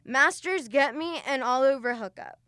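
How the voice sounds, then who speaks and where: A woman's voice: sits high, normal speed, pushed and bright, no room sound, studio quality. A woman playing a stern, commanding spaceship navy captain in a student radio drama, giving clipped orders. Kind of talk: old-time radio drama acting.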